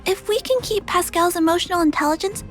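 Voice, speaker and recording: A very high, childlike girl's voice for a video game. She is gentle, polite and earnest, choosing words thoughtfully with quiet determination. Soft music sits faintly behind her. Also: fast. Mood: earnest, hopeful. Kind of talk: scripted video game voice acting.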